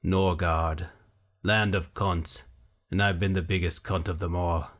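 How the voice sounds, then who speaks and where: A gruff, coarse warrior growls out blunt words in a low, grumbling voice, as a man reads him aloud. The voice sits deep and the delivery is slow and heavy, like a storybook fighter. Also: level tone, fairly bright tone.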